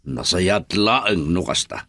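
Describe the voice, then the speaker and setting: A man's voice: low voice, expressive, takes it slowly, pushed and bright, no room sound, studio quality, full character voice. A man playing a stern, disapproving middle-aged father who warns his daughter in an Ilocano radio drama. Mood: stern resignation.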